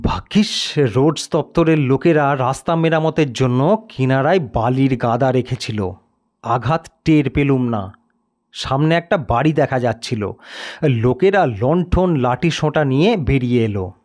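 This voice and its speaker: A man's voice: medium pitch, a little slow, darkish tone. A man narrating a scripted first-person story in a dramatic style.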